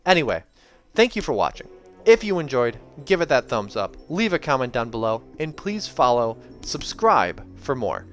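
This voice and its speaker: A hoarse man reading a novel aloud and chatting casually between passages, with joking asides and many fillers. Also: mid-range voice, very animated, moderate pace. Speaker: reader and channel host. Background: soft game music.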